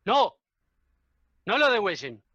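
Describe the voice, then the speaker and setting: A woman's voice: mid-range voice, very animated, unhurried, fairly bright tone, breathy edge, dry recording, muffled recording, heavily acted. A woman playing an imposing, cold and commanding male judge in a radio play.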